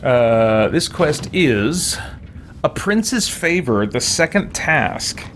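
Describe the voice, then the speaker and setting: A man's voice: on the high side, big pitch swings, extremely slow, background noise. A man streaming a video game, commenting casually to himself with folksy, mildly exasperated exclamations.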